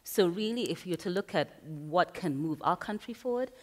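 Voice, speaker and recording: A woman's voice: lowish voice, expressive, moderate pace, a little mellow. A woman from Kenya speaking as a panelist at a conference, earnest and emphatic, thinking aloud. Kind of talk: panel discussion. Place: conference hall. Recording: reverberant conference hall.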